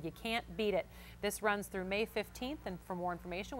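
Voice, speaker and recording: A female local news anchor with a mid-pitched voice and a chatty, encouraging newscast delivery. There is a faint noise behind her. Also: fairly bright tone.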